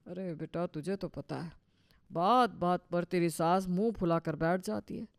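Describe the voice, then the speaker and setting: A man's voice: sits high, a little slow, vocal fry, dry recording. Cartoon role: henpecked old father-in-law played by a man, resigned, worried, dry close recording. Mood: weary, complaining. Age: older. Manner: resigned, complaining. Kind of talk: Hindi cartoon story dialogue.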